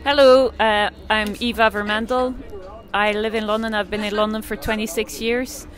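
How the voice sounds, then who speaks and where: A woman from Belgium in her forties answers interview questions about her art and photography. She talks haltingly, with frequent fillers and restarts. Her pitch stays level, with very little rise and fall. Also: flat delivery. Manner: hesitant, many fillers and restarts. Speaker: artist and photographer.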